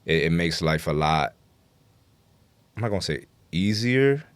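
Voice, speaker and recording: A man's voice: low-pitched, expressive, a little slow, clean tone, no room sound. A man with a New York accent talking casually and slangily as a rapper guest on a podcast, swearing freely. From New York City. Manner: slangy, profanity-laced, frequent "you know". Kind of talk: casual podcast interview talk.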